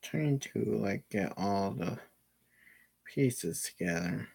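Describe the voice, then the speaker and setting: Slow-paced, muttering game streamer. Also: sits low, expressive, gravelly, mellow, slightly rough. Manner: muttering to self, frequent swearing. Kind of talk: casual livestream gameplay commentary.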